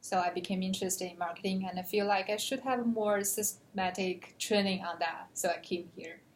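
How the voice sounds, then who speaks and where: A young woman from China, a native Chinese speaker, answering interview questions in an earnest, measured way. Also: mid-range voice, fairly even intonation, slight background noise, low-quality recording. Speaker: graduate student.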